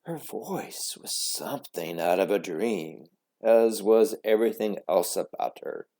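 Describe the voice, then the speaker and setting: A male storyteller reads for children with theatrical flair. His voice is mellow and creaky, with slow, dramatic phrasing and very wide intonation. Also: fairly low pitch, animated. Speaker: story reader. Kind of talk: expressive children's storybook reading.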